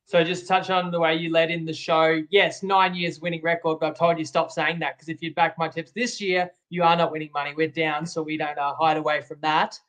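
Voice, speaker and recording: A man's voice: on the high side, level tone, fairly quick, few pauses, a bit bright. A man with an Australian accent, co-hosting a betting podcast, reflective and a little self-critical, with some hesitant fillers. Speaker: co-host and tipster. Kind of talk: casual sports betting podcast chat.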